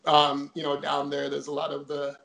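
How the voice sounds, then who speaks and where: A man's voice: on the high side, monotone, moderate pace, vocal fry, background noise. A young man from Jamaica, a guest in a casual interview, telling his story chattily with many fillers.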